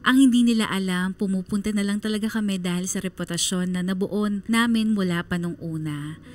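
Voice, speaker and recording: An actress playing a sad young daughter narrating in a confiding way for a Filipino radio drama. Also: female voice, mid-range voice, brisk, a little mellow, soft background music, natural voice. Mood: sad, reflective. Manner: wistful, confiding. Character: sad young daughter narrating her family's hidden troubles.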